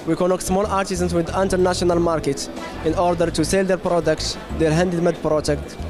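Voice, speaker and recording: Man from Morocco pitching carefully over music. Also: level tone, brisk. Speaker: startup founder. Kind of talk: interview pitch. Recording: music bed underneath.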